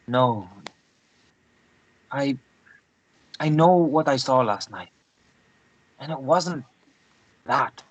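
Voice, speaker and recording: A man acting a troubled, haunted boyfriend in a script read, halting and understated. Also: medium pitch, lively intonation, slightly rough, no room sound, full character voice, rough recording. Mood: uneasy. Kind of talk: script table read, acted dialogue.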